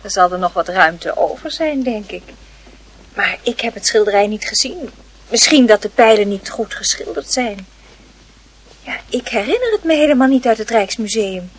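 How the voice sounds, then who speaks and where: An actress playing a wistful young aunt in a radio play, musing and hesitant. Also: female voice, on the high side, lively intonation, moderate pace, slightly rough, rough recording. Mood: patient, pondering. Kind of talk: Dutch radio play acting.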